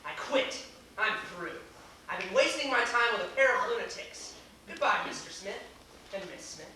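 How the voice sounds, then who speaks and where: A man's voice: sits high, animated, moderate pace. A young man acting an earnest, indignant suitor in a stage comedy, with an emphatic delivery. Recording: echoey theater, distant camera, faint hiss.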